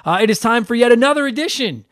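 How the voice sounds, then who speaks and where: A man's voice: extremely high pitch, expressive, fast talker, a bit bright, no echo, studio quality. A man hosting a podcast, stuffed up from allergies, talking in a rambling, profane, self-deprecating way. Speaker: podcast host. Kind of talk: casual podcast intro and plugs.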